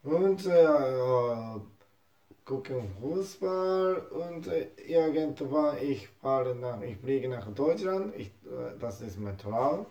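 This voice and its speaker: Man from Japan, native Japanese, halting German, slow delivery, echoey room. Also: medium pitch, lively intonation, warm tone. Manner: halting, broken German with many hesitations. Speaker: interview guest, football fan. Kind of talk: casual interview in non-native German.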